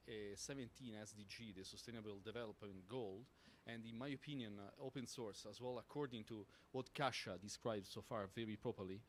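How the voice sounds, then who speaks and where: A man with an Italian accent, a native Italian speaker, answering as a panelist, halting and searching for words with many fillers. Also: mid-range voice, slight background noise. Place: conference hall panel.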